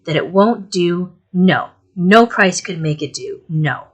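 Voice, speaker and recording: A blunt, stubborn household servant, as a woman reader puts her on, low and stiff in her speech, leaning hard on each refusal. The voice stays deep for a woman. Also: lively intonation, slow pace. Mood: emphatic. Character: stout stubborn middle-aged female servant, blunt.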